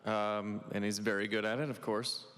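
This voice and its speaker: A man answering interview questions in a halting, stammering way with many pauses. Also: lowish voice, level tone, fairly bright tone, a little hiss or hum. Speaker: actor guest. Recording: echoey convention hall.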